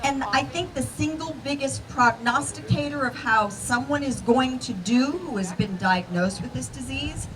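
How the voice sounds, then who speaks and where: High-voiced woman, recorded at a distance. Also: normal speed, few pauses, crowd noise. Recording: distant mic, faint background noise.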